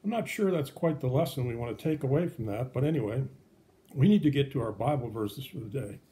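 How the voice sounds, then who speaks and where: A man's voice: medium pitch, lively intonation, brisk, dark tone. A man speaking as a pastor and puppet show host, explaining things simply and patiently to children.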